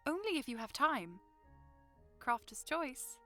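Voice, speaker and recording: A woman acts out a young noblewoman with a high voice. She is spirited and curious, teasing and insistent but well-mannered, in an audiodrama with faint music behind her. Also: big pitch swings, takes it slowly, sharp tone, exaggerated voice. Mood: curious, warm. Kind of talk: audiodrama acting.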